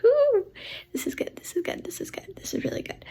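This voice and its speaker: A woman's voice: very high-pitched, animated, brisk, warm tone, dry recording, in a whisper. A teenage girl and author vlogging casually, rambling in a stressed, self-mocking way with a sleepy-sounding voice. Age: teens. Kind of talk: casual vlog chat.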